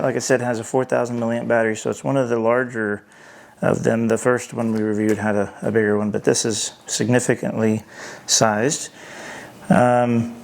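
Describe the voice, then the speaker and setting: A man talks through a hands-on review in an unscripted, halting fashion, as if working things out aloud. His medium-pitched voice has a noticeable creaky fry. Faint noise and small clicks sit behind him. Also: darkish tone. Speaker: product reviewer.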